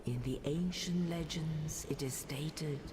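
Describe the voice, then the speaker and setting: Slow, solemn legend narrator. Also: female voice, sits low, noisy background. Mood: solemn, portentous. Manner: solemn, portentous, measured. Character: solemn mysterious female narrator of ancient legend. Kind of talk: slow dramatic video game narration.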